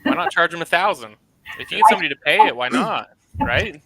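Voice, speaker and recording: A man's voice: fairly high pitch, very animated, fast, vocal fry, a loud crowd, rough recording. A man who runs a landscaping business, talking casually on a video call panel with lots of fillers. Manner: conversational, with frequent fillers.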